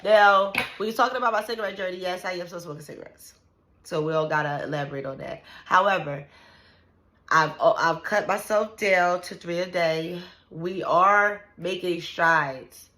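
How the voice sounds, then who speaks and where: Blunt, profane woman in her thirties, bright-voiced. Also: lowish voice, normal speed. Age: 30s. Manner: blunt, profane and animated, trailing "like" and "you know what I'm saying". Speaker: vlogger. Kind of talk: casual venting to the camera.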